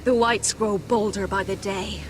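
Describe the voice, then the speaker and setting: A woman's voice: mid-range voice, fairly even intonation, a little slow, lightly acted. A woman playing a curt, urgent young villager. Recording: noisy outdoor game ambience.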